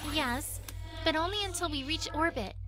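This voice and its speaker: A woman's voice: high voice, moderate pace, music in the background, close to an everyday voice. A woman playing a studious, eager unicorn pony scientist in an audio drama, earnest and precise in manner. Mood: matter-of-fact.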